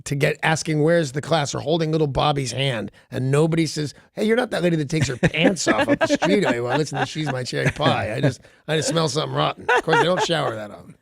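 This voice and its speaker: A man co-hosting a morning radio show with a raspy voice, joking and riffing on the news. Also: a little high, animated, fast, few pauses, no echo. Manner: wisecracking, breaks into silly bits. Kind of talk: casual joking banter. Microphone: studio mic with headphones.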